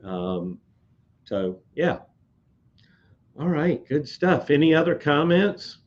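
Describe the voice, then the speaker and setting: A man talking slowly and haltingly, with many fillers, answering viewer comments on a live webcast, his voice slightly hoarse. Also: on the high side, big pitch swings, highs cut off. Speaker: code expert on a live industry webcast.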